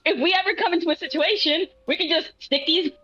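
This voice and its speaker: A woman playing a tabletop role-playing game, improvising her character's actions and lines with halting, hesitant phrasing. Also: extremely high pitch, pushed and bright, highs cut off. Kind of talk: casual improvised role-play narration.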